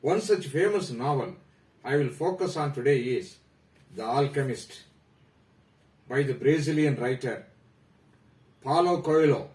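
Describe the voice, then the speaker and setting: A man with an Indian accent, presenting a prepared talk about a novel in a formal, measured way that trails off at the ends of phrases. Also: medium pitch, animated, darkish tone, roomy sound, distant mic, rough recording. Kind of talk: reading a prepared literary talk.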